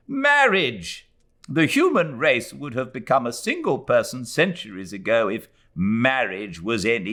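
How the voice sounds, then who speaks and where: A man voicing a cranky, weary old Englishwoman who complains in a drawn-out, querulous way. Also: mid-range voice, sharp tone, voice pushed up, exaggerated voice.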